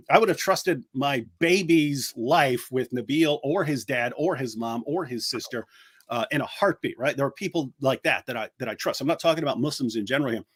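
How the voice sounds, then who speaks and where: A middle-aged man co-hosting a casual commentary chat, talking in an animated way with many restarts and the odd mocking impression. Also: medium pitch, normal speed, husky. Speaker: co-host.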